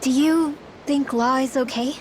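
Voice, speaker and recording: A woman's voice: sits high, steady tone, a little slow, a little hiss or hum. A woman playing an earnest young girl in a fantasy video game, with a hesitant, confessional manner. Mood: remorseful, hesitant.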